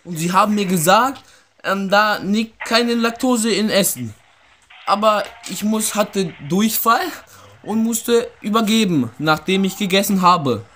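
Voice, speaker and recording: A young man with a fairly high voice plays prank caller in a room. His delivery is halting and hesitant, and there is faint noise with the odd click on the recording. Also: expressive, fairly bright tone. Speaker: prank caller, video host. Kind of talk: prank phone call with asides to viewers. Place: indoor room with wooden floor.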